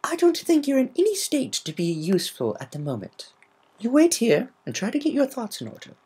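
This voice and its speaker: Refined female unicorn played by a woman, storybook character, high lifted pitch, polite, composed, caring, measured phrasing. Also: huge pitch swings, darkish tone, husky, full character voice. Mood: gentle, composed.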